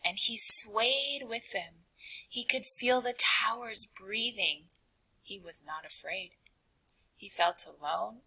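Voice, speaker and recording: Slow, slightly husky woman reading a storybook aloud. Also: mid-range voice, rough recording. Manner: dramatic, deliberate pauses with hushed phrase endings. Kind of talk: expressive children's read-aloud narration.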